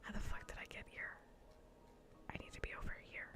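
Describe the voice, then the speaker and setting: A woman streamer chatting casually in a soft, breathy whisper and murmur during an ASMR gaming livestream. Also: fast.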